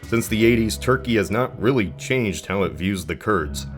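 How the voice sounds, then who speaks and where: A man's voice: fairly low pitch, lively intonation, moderate pace, soft background music. A man narrating a scripted explainer video, with dry emphatic phrasing and conversational asides.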